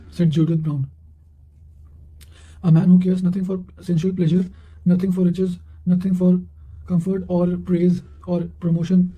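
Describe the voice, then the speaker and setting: A man reading study notes and quotations aloud in a measured way, occasionally stumbling over a word. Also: high-pitched, mellow, muffled recording. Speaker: narrator of study revision notes.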